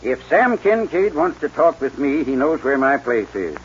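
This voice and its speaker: A man's voice: mid-range voice, big pitch swings, moderate pace, natural voice. An actor playing a proud, stubborn aging rancher father in a 1940s radio western, with a folksy American drawl and a stammer when moved. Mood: gruff pride. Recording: noisy muffled 1940s radio recording.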